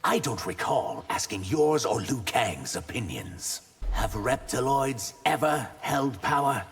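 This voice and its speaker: An actor playing an earnest, guarded young shapeshifting warrior in a video game. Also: male voice, mid-range voice, very animated, measured pace, gravelly, breathy edge, full character voice. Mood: wary. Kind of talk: video game character dialogue.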